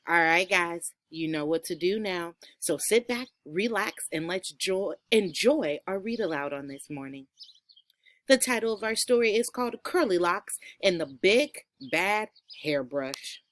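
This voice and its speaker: Expressive, unhurried woman reading to children. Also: lowish voice. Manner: enthusiastic, addressing children. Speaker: second grade teacher reading aloud. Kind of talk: expressive children's storybook read-aloud.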